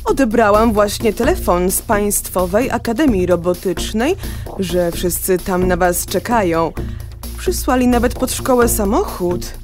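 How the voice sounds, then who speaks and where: A woman's voice: mid-range voice, a little slow, music in the background, close to an everyday voice. An actress playing a bustling female class teacher in a radio drama. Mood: pleased, chiding. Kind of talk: scripted radio drama acting.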